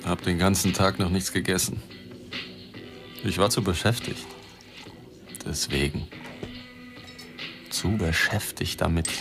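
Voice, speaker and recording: An actor playing a drunken, desperate, cynical reporter in a German radio drama, rambling and blunt. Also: male voice, low voice, vocal fry, heavily acted. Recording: studio radio play with loud music behind.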